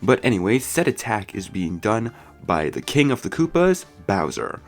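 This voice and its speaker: A man's voice: fairly low pitch, big pitch swings, normal speed, soft background music. A man giving a scripted movie review commentary in a casual, opinionated, conversational way. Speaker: video reviewer.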